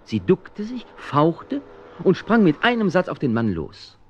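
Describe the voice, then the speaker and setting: An actor playing a resolute, caring man who narrates his own adventure in a radio play, expressive and conversational. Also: fairly high pitch, measured pace, dull audio, a little hiss or hum. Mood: narrating. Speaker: narrator and protagonist.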